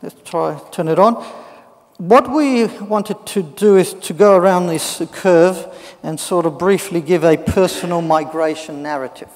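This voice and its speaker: A man in his fifties moderating a panel in a church hall, relaxed and joking, passing around a handheld mic. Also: high voice, unhurried. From Australia. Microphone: shared handheld mic. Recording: echoey hall.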